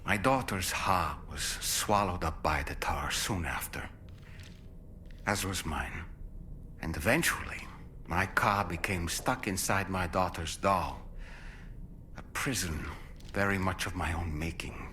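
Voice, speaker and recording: An actor playing a sorrowful father, a medium trapped inside a doll, telling his story slowly and solemnly in a video game cutscene. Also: deep voice, bright, forceful tone, a little hiss or hum, exaggerated voice. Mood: sorrowful, regretful. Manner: slow, solemn, reflective storytelling.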